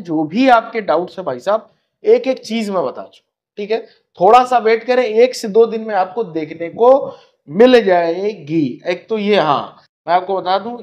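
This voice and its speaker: A man gives an informal update as a channel host, conversationally checking that listeners follow. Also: high voice. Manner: conversational, repeats tag phrases to check understanding. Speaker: coaching channel host, education counsellor. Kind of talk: informal admission update to camera.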